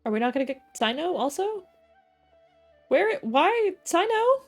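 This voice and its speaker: A woman's voice: extremely high pitch, sing-song, a little slow, soft background music. Woman livestreamer, joking and slangy. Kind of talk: casual game playthrough commentary.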